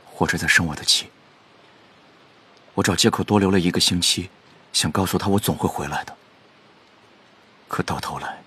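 An actor playing a quiet, restrained and wistful young man from a rich family in an audio drama. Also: deep voice, slightly rough, exaggerated voice. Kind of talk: Chinese audio drama acting.

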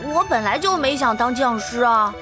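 A woman acts out a young tiger-demon lad in an audio drama. His voice is high and whiny, full of cocky defiance. Music runs behind the lines. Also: animated, moderate pace, close to an everyday voice. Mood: defiant, sulky.

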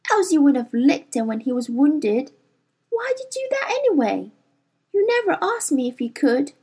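Picture a bold, quick-tempered storybook girl of about twelve, as a woman reader puts her on, high and bright in pitch with a voice that leaps up and down. She talks roughly and defiantly, heated and sure of herself. It sounds like a character in an audiobook reading. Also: sing-song.

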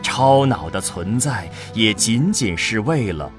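A man giving a dramatic scripted narration of a science-fiction audio story in a solemn, deliberate manner. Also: lowish voice, expressive. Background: music.

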